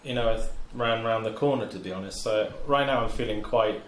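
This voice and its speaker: A man's voice: on the low side, a little fast. Wry male gamer in a noisy, echoey room. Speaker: tabletop game player. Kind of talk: casual game chat. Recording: echoey room with clear background noise.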